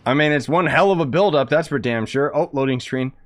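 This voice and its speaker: A man's voice: mid-range voice, a little fast, a little hiss or hum. A man reacting to a game story with sarcastic, joking commentary. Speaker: gaming streamer. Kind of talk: casual commentary over gameplay.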